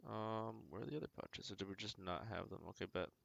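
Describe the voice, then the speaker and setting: Guy streaming a game, lowish creaky voice, just thinking out loud in slangy little bits, faint background noise. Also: fast talker. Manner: thinks aloud in short, slangy remarks. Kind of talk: casual gameplay commentary.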